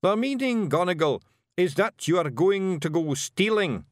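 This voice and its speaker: A man voicing a small, blustering male fairy clan chief in a broad Scottish accent, gruff and boastful. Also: high voice, lively intonation, dry recording, clean studio sound, pitched above the performer's own voice. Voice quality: gruff, boastful, quick to bristle.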